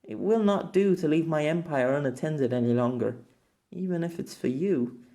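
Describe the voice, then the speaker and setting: Figure: grieving empress, solemn and tender, read by a man. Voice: fairly high for a man, audiobook storytelling. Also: moderate pace, warm tone, lightly acted. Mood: solemn farewell. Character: grieving empress writing a farewell letter.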